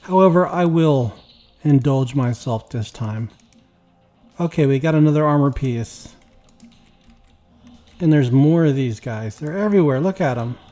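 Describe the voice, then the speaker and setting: A man with a slightly raspy, weak voice, tired after work, chatting casually while playing a game. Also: medium pitch, unhurried, pauses often, soft background music. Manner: rambling, relaxed, talks while playing. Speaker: gaming YouTuber. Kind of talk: casual gameplay commentary.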